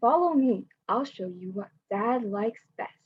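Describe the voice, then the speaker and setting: Young woman doing a matter-of-fact big brother kid, breathy, muffled call sound. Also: a little high, expressive, a little slow, exaggerated voice. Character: boy, knowing older brother.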